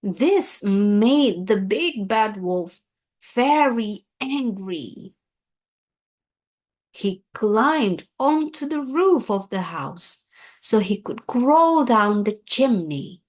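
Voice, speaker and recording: A woman reading a children's story aloud in a deliberate, expressive storytelling manner. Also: medium pitch.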